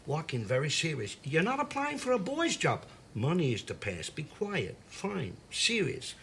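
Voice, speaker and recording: An actor playing an aging, volatile salesman father, husky and blustering, domineering and quick to interrupt, in a stage drama style. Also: sits high, sing-song, sharp tone, slight background noise, full character voice. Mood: bossy, coaching.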